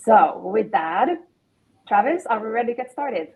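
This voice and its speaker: A woman's voice: a little high, lively intonation, normal speed, narrow, tinny audio. A woman co-hosting an online webinar over a video call, explaining housekeeping to the audience. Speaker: co-host. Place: indoor room, video call.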